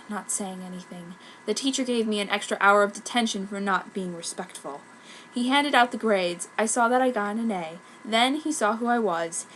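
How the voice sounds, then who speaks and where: A woman's voice: medium pitch, a bit bright, a little hiss or hum, close to an everyday voice, rough recording. A woman voicing a self-pitying, dramatic goth schoolgirl telling her own story in a fanfic reading. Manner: melodramatic. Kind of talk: mock-earnest first-person fanfic narration.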